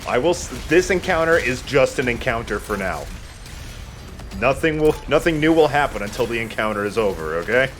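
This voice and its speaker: A man's voice: medium pitch, very animated, fairly quick, a bit bright, soft background music. A man giving casual let's play commentary in a playful, self-deprecating way, swearing casually. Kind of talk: casual gameplay commentary with banter.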